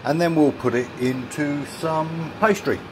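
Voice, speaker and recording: A man's voice: fairly high pitch, a little slow, background noise. A man chatting casually through a home cooking video, explaining each step with self-mocking asides. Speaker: home cook. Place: small home kitchen.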